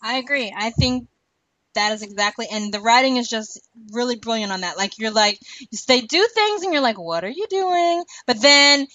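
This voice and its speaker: A woman's voice: a little high, lively intonation, moderate pace, sharp tone, no echo, lo-fi sound. A woman co-hosting a podcast, chatting casually and emphatically about a TV show, repeating words for stress. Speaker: podcast co-host.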